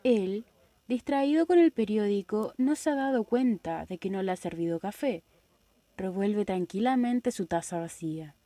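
A woman reading stage directions aloud for a radio play in an even, measured way. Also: medium pitch, no echo. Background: faint jazz music.

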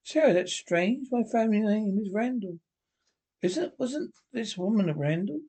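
A husky-voiced reader voicing a frightened young woman, speaking in an anxious hush. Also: mid-range voice, lively intonation, normal speed. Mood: puzzled.

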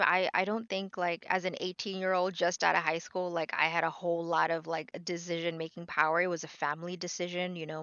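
A woman's voice: fairly low pitch, creaky, a bit bright, no echo. Chatty woman with lo-fi sound. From born in Bangladesh, raised in New York City. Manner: chatty and candid, many fillers and restarts. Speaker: guest, health insurance advisor. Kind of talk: casual interview talk. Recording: dull lo-fi audio.

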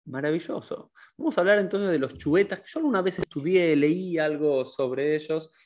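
A man's voice: a little high, expressive, normal speed, a little mellow. A man hosting a podcast, leading the conversation in a friendly way. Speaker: podcast host. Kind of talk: casual interview chat.

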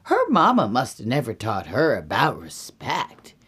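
A woman voicing a stern, prim mother bear in a children's story, scolding in a deliberate, reproving way. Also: very deep voice, huge pitch swings, a bit bright, slightly rough, exaggerated voice.

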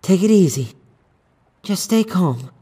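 A man voicing a frightened, protective mother in a hushed, tense manner for a horror audiobook. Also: sits high, lively intonation, takes it slowly, background noise, pitched above the performer's own voice. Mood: self-soothing. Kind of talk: audiobook character voice.

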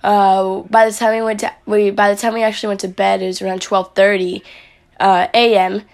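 A young woman talks casually into the camera and recaps her week as a vlogger and athlete. Her voice sounds raspy and hoarse after days of yelling while coaching. She rambles along in a friendly way, using many fillers. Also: medium pitch, level tone, fairly quick.

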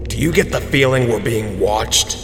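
A man playing a hesitant, slow-witted rock strongman superhero in a comedy audio drama, halting and awkward. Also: mid-range voice, expressive, faint music behind, natural voice. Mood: uneasy, obliging. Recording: loud background noise.

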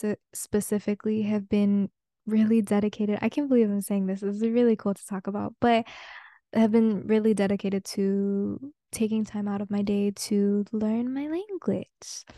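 A young woman from Peru, whose first language is Spanish, hosts her own podcast in a reflective, open-hearted way. Her voice is warm and relaxed, and it sits in a middle range. The sound is dry and close, with no room around it. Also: medium pitch, dry recording. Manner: earnest, open, casual with slang. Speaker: podcast host. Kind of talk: reflective solo podcast talk.

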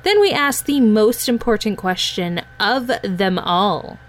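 Relaxed woman podcast co-host. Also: mid-range voice, takes it slowly. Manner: relaxed, agreeable, casual swearing. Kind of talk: casual chat.

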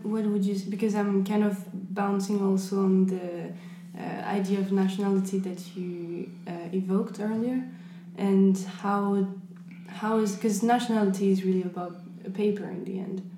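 Woman host with a flat, mellow voice. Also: medium pitch, measured pace, roomy sound, slight background noise, rough recording. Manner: hesitant, searching questions. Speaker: podcast host. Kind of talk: interview questions.

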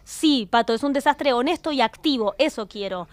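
An actress with an Argentine Rioplatense accent playing a middle-aged, exasperated, chatty separated wife in a naturalistic audio drama. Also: female voice, high-pitched, lively intonation, normal speed. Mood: animated venting. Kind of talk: naturalistic audio fiction dialogue.

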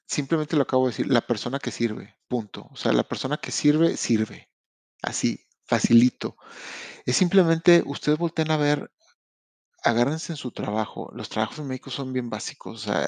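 A man with a Mexican accent talks straight to his podcast listeners, frank and slangy, and often restarts his sentences. His voice sits at an ordinary pitch. It is recorded dry and close, with no room echo. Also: darkish tone. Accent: Mexican Spanish. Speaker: podcast host. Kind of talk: casual motivational podcast monologue. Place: lives in Canada.

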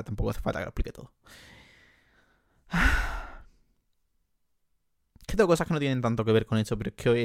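A young man with an Andalusian accent hosting a casual solo podcast from a bedroom, chatty and self-mocking, quick to laugh. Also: medium pitch, dry recording, studio quality. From Andalusia, Spain. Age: young adult. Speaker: podcast host. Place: his sister's bedroom.

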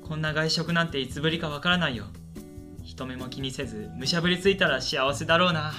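A man's voice: on the high side, fast, music in the background, close to an everyday voice. A man playing a shy, lonely university student in a radio drama, earnest and chatty in both dialogue and narration.

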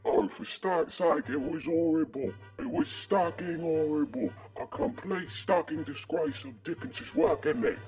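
A man's voice: on the high side, unhurried, few pauses, darkish tone, soft background music, lightly acted. A man playing a foul-mouthed, indignant comic supervillain with a thick, slurred British accent in a superhero parody. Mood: outraged. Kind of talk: superhero parody audio drama.

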